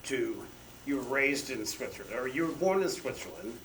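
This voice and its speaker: A man's voice: medium pitch, animated, normal speed, a bit bright, distant mic, slight background noise, poor audio. A man interviewing a guest, prompting him with background details and filling in his answers. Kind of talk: conversational interview questions.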